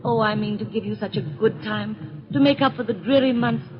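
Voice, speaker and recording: A woman playing a gentle, devoted invalid wife, hesitant and anxious to please, with refined old radio drama delivery. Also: on the high side, moderate pace, lightly acted. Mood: pleading, wounded. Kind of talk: 1930s radio drama acting. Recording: muffled old radio recording.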